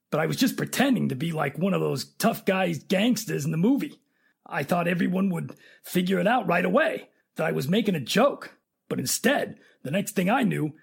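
Male actor as blustering tough bodyguard, high hoarse rasp, slangy, indignant, radio drama. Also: exaggerated voice. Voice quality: raspy, gravelly. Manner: slangy, blustering wise guy. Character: indignant tough-talking hired bodyguard, a man.

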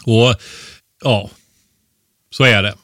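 Male expert podcast co-host, low-pitched, dry sound. Casual explainer who checks in with little question tags. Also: steady tone, fairly bright tone, dry recording. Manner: explanatory, often ending points with a questioning tag. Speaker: expert podcast co-host giving preparedness advice. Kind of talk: casual conversational advice.

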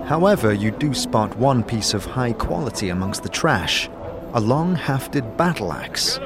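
A man's voice: medium pitch, lively intonation, unhurried. A man giving dramatic second-person narration for a fantasy audio drama. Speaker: narrator and lead actor. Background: music.

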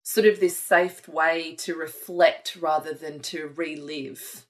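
A woman's voice: low voice, fairly even intonation, takes it slowly. A woman author talking thoughtfully and earnestly about her writing in a conversation. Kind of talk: reflective conversation.

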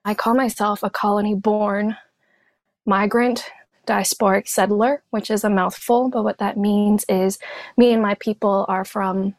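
Woman, native Cantonese, from Hong Kong, therapist guest, measured, listing ideas, dry sound. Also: mid-range voice, steady tone, no room sound. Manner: thoughtful, measured, speaks in lists. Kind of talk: reflective personal storytelling.

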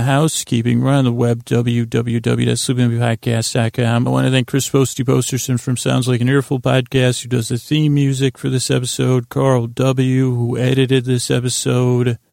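Gravelly guy doing a rambly goofy sleep podcast, keeps interrupting himself, really dry sound. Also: mid-range voice, darkish tone, no echo. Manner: meandering, halting, self-interrupting; goofy, self-deprecating. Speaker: podcast host. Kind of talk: rambling improvised bedtime podcast monologue.

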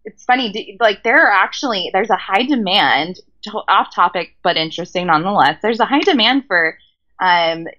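A woman's voice: medium pitch, animated, moderate pace, sharp tone. Chatty American woman, dull lo-fi sound. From California, USA. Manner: chatty, laughs often, self-deprecating. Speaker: guest, traveler. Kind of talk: casual conversational interview.

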